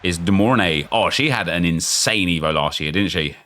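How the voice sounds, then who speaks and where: A man, chatty and excitable, thinking aloud as he opens packs in a football game, losing his voice and getting unwell. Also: deep voice, moderate pace. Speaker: gaming YouTuber. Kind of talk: casual pack-opening commentary.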